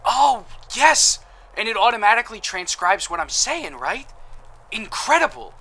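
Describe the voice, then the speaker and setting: A man's voice: high-pitched, very animated, normal speed, sharp tone, full character voice. An actor playing a cheerful, boastful male apothecary suspect in an audio drama, with a chipper, self-satisfied, theatrical manner. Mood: delighted.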